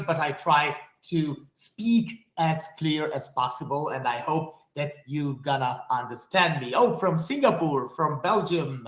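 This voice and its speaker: A man's voice: fairly high pitch, a bit bright, reverberant. A man from Hungary, a native Hungarian speaker with a Hungarian accent, giving an enthusiastic, friendly online webinar presentation and speaking straight to his audience.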